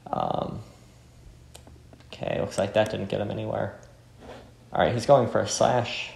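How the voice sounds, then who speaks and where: A man's voice: on the low side, steady tone, unhurried, gravelly, darkish tone, a little hiss or hum. A man giving casual gameplay commentary as host, hesitant and self-effacing. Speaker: game playthrough host. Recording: roomy with faint music.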